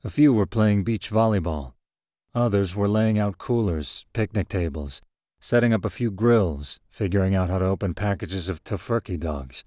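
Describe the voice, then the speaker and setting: Guy narrating a short story in first person, lowish voice, close dry recording. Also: normal speed, dark tone, no echo.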